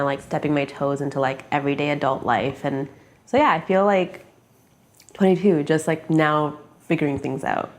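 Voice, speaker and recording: A woman in her mid twenties speaking casually and searchingly in a documentary interview, with many "like"s. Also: low-pitched. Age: mid 20s.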